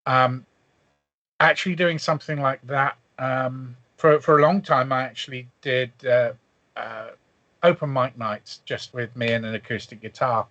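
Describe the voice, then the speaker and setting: A man chatting as a guest on a podcast, telling stories in a relaxed way with many fillers. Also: medium pitch, measured pace, no room sound. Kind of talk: casual panel chat. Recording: dull dry remote audio.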